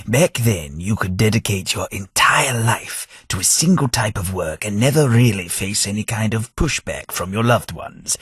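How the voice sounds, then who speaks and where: An actor playing an eccentric, long-lived aristocrat who rambles through wry, theatrical stories in a husky voice, for a video game. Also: male voice, bright, forceful tone, dry recording, exaggerated voice. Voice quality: deep, husky, slow and theatrical. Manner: rambling storyteller, dry self-mocking wit. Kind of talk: game voice acting.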